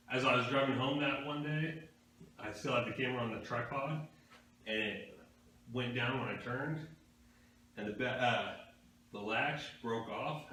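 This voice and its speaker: A man's voice: mid-range voice, fairly bright tone. A man in casual behind-the-scenes chat, recorded away from the microphone in a very echoey room. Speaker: co-host and camera operator. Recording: very echoey, distant from mic, faint noise.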